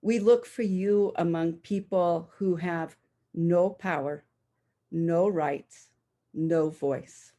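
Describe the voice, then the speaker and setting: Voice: medium pitch, slow pace, warm tone. A person reading a prayer poem aloud in a worship service over a video call from home. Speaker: worship reader.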